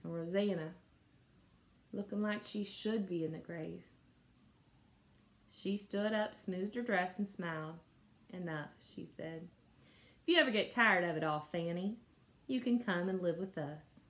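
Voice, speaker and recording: A woman's voice: on the low side, a little slow, long pauses. A woman in her thirties reading a novel aloud expressively, like a teacher telling a story. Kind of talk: expressive audiobook-style read-aloud of a novel. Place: small indoor room at home. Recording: noticeable room noise.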